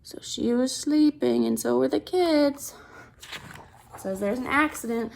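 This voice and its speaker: A woman's voice: high-pitched, unhurried, vocal fry, a little mellow, low-quality recording. A woman reading a picture book aloud to children, expressively, with simple asides and questions about the pictures.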